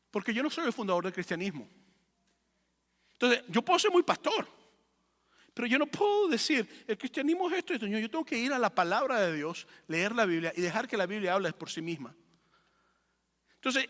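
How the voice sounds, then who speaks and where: A man's voice: very high-pitched, pushed and bright. A man preaching a sermon as a pastor, teaching emphatically with rhetorical questions and repeating phrases for effect. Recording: long hall reverb.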